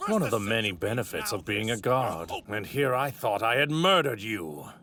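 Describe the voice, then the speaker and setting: An actor playing an arrogant, wrathful god in a stolen warrior's body, with haughty, theatrical, grandiose delivery in an anime dub. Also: male voice, fairly low pitch, very animated, normal speed, pushed and bright. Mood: smug, condescending. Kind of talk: anime dub villain acting. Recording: clearly noisy audio ripped from anime.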